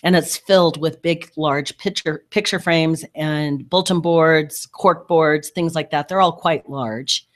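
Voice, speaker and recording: A man's voice: on the high side, fairly even intonation, unhurried, hardly any pauses, a bit bright. Blunt middle-aged man chatting casually. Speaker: podcast co-host. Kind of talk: casual chat.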